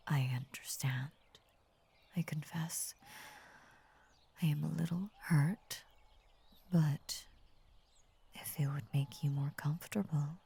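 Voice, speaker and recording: A woman voicing a tender, possessive lamia in an intimate ASMR roleplay, whispering slowly and soothingly with formal, coaxing words. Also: low voice, dark tone, full character voice. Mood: hurt, apologetic. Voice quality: breathy, whispery. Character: possessive, tender female lamia, half snake, doting and unnerving.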